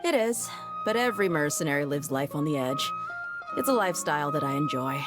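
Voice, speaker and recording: Game cast: low-pitched, blunt, easygoing young female mercenary. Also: lively intonation, brisk, loud music behind the voice. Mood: steady. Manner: easygoing, blunt, matter-of-fact. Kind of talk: game voice acting, story dialogue.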